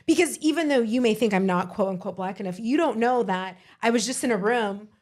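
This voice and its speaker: A woman in her thirties talking casually as a podcast guest, animated, often repeating words and laughing, into a handheld mic. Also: a little high, a little fast. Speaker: guest, law firm owner. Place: small studio with brick wall.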